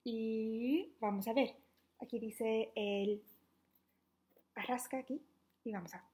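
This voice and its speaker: A young adult woman reading a children's book aloud interactively, in an animated, playful, questioning manner. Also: fairly high pitch, takes it slowly. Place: small living room at home, indoors.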